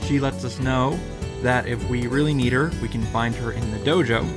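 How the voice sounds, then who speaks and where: A man's voice: medium pitch, fairly even intonation, normal speed. Sarcastic man recapping over music. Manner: sarcastic, profane. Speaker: host of visual novel reaction summaries. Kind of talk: casual comedic recap narration.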